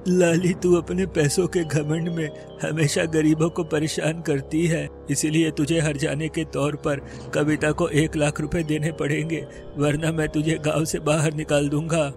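A man's voice: on the high side, flat delivery, unhurried, hardly any pauses, over music. A man voicing a stern, authoritative older village headman in a cartoon story.

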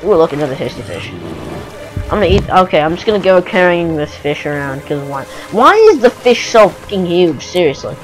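A man's voice: fairly high pitch, animated, fairly quick, rough recording. Goofy young male gamer, exclamatory. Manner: goofy, exclamatory, reacting aloud. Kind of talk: casual gameplay commentary. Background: game music.

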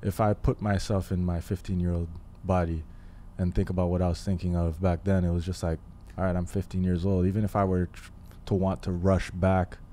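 A man in his twenties from Haiti, telling his story as a guest, thoughtful and pausing often with fillers. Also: low-pitched, level tone, warm tone, slight background noise. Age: 20s. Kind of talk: casual interview. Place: living room podcast set. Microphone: studio mic on boom arm, headphones.

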